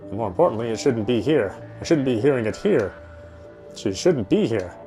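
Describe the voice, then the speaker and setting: A man's voice: mid-range voice, lively intonation, normal speed, warm tone, faint music behind. A man reading a horror visual novel aloud as first-person narrator, with a dramatic, tense delivery.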